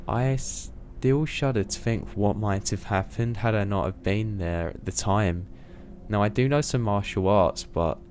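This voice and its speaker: Young man, very low voice, steady scripted horror narration, faint background hiss. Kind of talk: scripted first-person horror story narration.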